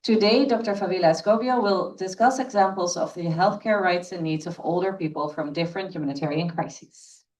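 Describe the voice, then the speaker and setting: A woman's voice: fairly low pitch, brisk, a little mellow, distant mic. A woman moderating an event, reading a formal speaker introduction from paper.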